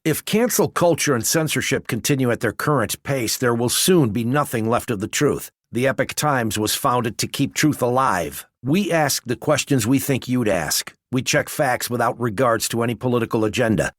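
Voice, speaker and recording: A man's voice: mid-range voice, expressive, normal speed, few pauses, no room sound. Dry, close male advertisement announcer. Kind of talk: scripted advertisement narration.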